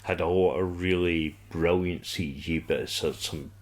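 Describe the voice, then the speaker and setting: A man co-hosting a podcast, talking casually about a TV show in a halting, rambling way. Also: sits low, steady tone. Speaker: podcast co-host.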